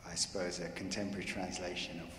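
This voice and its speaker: A man explains the electronics side at a handheld mic in a large church, rambling and full of fillers. His voice is very deep. The church adds long reverb and a faint hiss. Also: little pitch movement, fast. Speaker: electronics collaborator answering questions. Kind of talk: unscripted Q&A answers. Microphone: handheld microphone. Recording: echoey church with faint noise.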